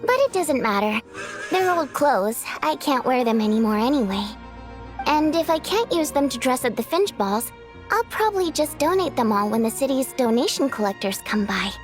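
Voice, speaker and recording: High-voiced, capable young manager. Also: female voice, big pitch swings, moderate pace. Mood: breezy, assured. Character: capable, matter-of-fact young female station manager and singer. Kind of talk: animated video game voice acting. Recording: faint music behind the voice.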